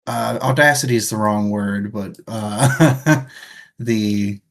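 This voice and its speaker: A man's voice: on the low side, slow, creaky. A man hosting a casual livestream book discussion, searching for words as he goes. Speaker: show host, reads viewer comments.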